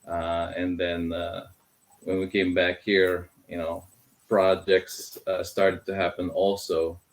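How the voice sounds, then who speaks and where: A middle-aged man from the Philippines, a guest in a casual interview, talking with many pauses and fillers and slipping Tagalog words into his English. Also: low voice, monotone. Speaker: guest, filmmaker.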